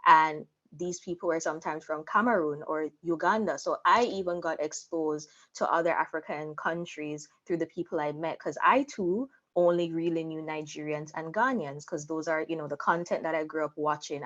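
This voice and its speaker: A woman's voice: low voice, dull audio. A young adult woman telling her personal story candidly as an interview guest. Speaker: interview guest, content creator.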